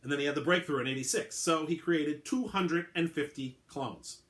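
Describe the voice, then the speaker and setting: A man's voice: fairly high pitch, moderate pace, fairly bright tone, voice pushed up, close to an everyday voice. A man voicing an eccentric, oddly formal male clone who explains patiently and keeps correcting himself. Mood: explaining.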